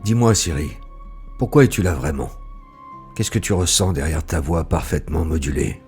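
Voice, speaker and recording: A man playing a brooding, barely human narrator, speaking in a slow, pensive and introspective way in a scripted audio drama. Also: extremely low pitch, a little mellow, soft background music. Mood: searching. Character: brooding male being, human only for seven seconds.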